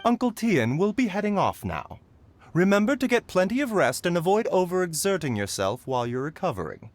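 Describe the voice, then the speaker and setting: An actor playing a calm, courteous doctor in a video game, articulate and reassuring. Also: male voice, sits high, a little fast, dry recording. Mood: gentle. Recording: faint music behind the voice.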